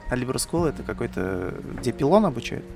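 A man's voice: mid-range voice, sing-song, fast, creaky, over music. A man hosting a casual podcast chat in a teasing, jokey manner. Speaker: podcast host.

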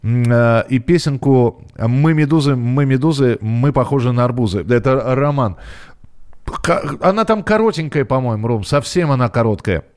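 Halting male radio host, middle-pitched voice. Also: a little mellow. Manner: halting, hesitant, searching for words. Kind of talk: casual live talk, reading listeners' messages.

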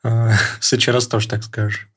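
A man's voice: lowish voice, level tone, a little fast, fairly bright tone, breathy edge. A twenty-eight-year-old man co-hosting a podcast, chatting in an easygoing, joking way. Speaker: podcast co-host. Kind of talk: casual podcast chat.

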